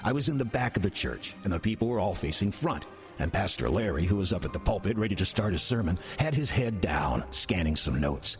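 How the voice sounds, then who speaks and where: A man playing a wry demon in the guise of an older church usher in an audio drama, with a husky voice and dry, deadpan delivery. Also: sits low, soft background music. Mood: wry narrating. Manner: dry, deadpan, unhurried sarcasm. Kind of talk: audio drama acting with first-person narration.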